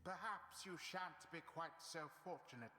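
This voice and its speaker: An actor playing a pompous, mocking game announcer who draws out archaic, theatrical pronouncements. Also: male voice, on the high side, lively intonation, normal speed, sharp tone. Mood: sardonic.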